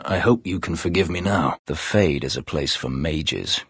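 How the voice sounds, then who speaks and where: An actor voicing a brooding, bitter elf warrior and escaped slave in a video game, grave, sardonic and measured, with a husky, gravelly voice. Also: male voice, very deep voice, fairly bright tone, dry recording, clean studio sound, heavily acted. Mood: subdued, sincere. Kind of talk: video game character acting.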